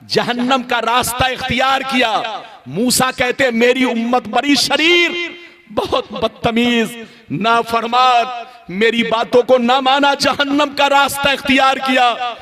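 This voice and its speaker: A man's voice: very high-pitched, fairly even intonation, slow. A man delivering an impassioned Islamic sermon as a preacher, declaiming stories, repeating phrases for emphasis and urging listeners to respond. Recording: live gathering with crowd noise.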